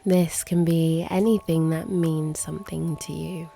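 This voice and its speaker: A woman with a low, mellow, creaky voice guides a meditation. She speaks in a soft hush, leaving long pauses and letting the ends of her phrases turn breathy. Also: flat delivery, slight background noise. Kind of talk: guided meditation, slow and hushed.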